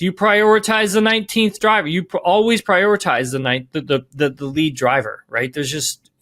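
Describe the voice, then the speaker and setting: A man's voice: sits high, fairly bright tone. A man giving podcast sports analysis, emphatic, rephrasing and repeating key words as he goes.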